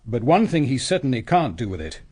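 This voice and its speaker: An actor playing a coolly brilliant British police inspector in a detective radio drama, husky, measured and deliberate. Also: male voice, mid-range voice, very animated, a little mellow. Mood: calm, incisive. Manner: measured, deliberate, theatrical reconstruction of events.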